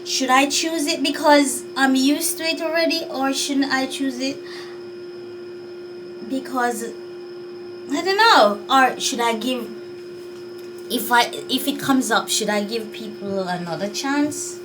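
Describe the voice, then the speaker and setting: High-voiced Jamaican woman, slow and musing. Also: recorded from a distance, background noise. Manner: chatty, musing and unsure, with sighs. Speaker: vlogger. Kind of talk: casual talk to camera.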